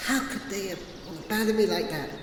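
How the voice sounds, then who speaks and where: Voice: mid-range voice, a little fast, roomy sound, in a large hall, background noise, lightly acted. A performer voicing a bitter, lonely young male exile, resentful and prone to defiant outbursts, in an audio drama. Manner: resentful, swinging to loud defiant shouts. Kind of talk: amateur audio drama acting.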